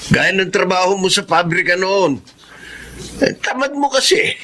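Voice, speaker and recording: A cantankerous old Filipino man in a radio drama. His voice is high for a man and sharp, full of grumbling, demanding scorn. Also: expressive, a little slow, a little hiss or hum, exaggerated voice. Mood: scornful, angry. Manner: complaining, scolding. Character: grumpy, scornful old father-in-law, demanding and cruel.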